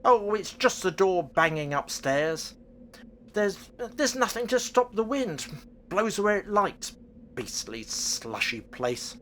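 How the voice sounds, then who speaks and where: Radio play father, male actor: older, excitable, exclamatory, slow pace, very animated intonation. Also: sits high, a little hiss or hum, full character voice. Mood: easygoing, grumbling. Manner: theatrical, halting, exclamatory. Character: anxious, excitable older father of a grown daughter. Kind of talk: amateur radio play horror acting.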